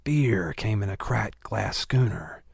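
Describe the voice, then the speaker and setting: A man reads literary fiction as an audiobook narrator. His voice is fairly low with a strong creak, recorded very dry and close. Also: lively intonation, takes it slowly, dry recording.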